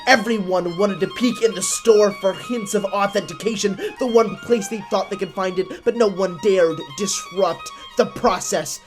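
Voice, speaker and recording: A man with a somewhat high-pitched voice tells a scripted story as a narrator. His delivery is theatrical and solemn, with a literary feel. Violin music plays faintly underneath. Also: moderate pace, few pauses. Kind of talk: scripted dramatic storytelling for a puppet show.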